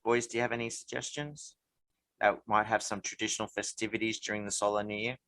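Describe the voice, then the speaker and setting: A man's voice: lowish voice, little pitch movement, quick pace, pushed and bright. A man co-hosting a casual podcast, chatty and loose, steering the talk with questions. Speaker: podcast co-host. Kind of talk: casual chat.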